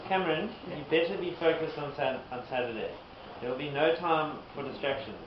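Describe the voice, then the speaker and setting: A man acts out a stern, devoted fiancée in a comedy skit, solemn and commanding. The voice is a little high. Heavy noise and echo cover the recording. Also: brisk, close to an everyday voice. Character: stern, devoted young bride-to-be, female. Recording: very noisy, muffled, echoey room recording.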